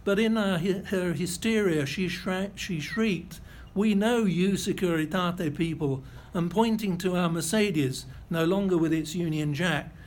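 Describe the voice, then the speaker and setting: An older man giving a lecture, reading out a first-hand account in a measured, precise way with a few self-corrections. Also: high-pitched. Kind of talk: reading a prepared memoir-style lecture.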